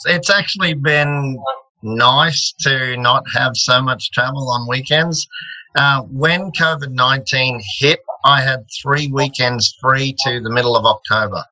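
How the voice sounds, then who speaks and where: Easygoing Australian man, bright tone. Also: medium pitch, slow pace, poor audio. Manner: easygoing, sets the scene like a commentator. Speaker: rodeo announcer and radio presenter. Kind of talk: casual video-call chat, rodeo-style calling.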